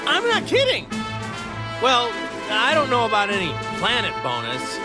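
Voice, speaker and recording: A man's voice: very high voice, huge pitch swings, normal speed, heavily acted. An actor playing a cheerful, naive young male martial-arts hero in a game cutscene, talking in a casual, guileless, earnest way. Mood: puzzled, chatty. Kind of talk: animated game cutscene acting. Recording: loud music bed under the voice.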